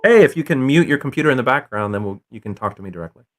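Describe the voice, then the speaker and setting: A man's voice: medium pitch, animated, very fast, a bit bright, faint music behind. A middle-aged man hosting a casual call-in show, rambling with many fillers and restarts.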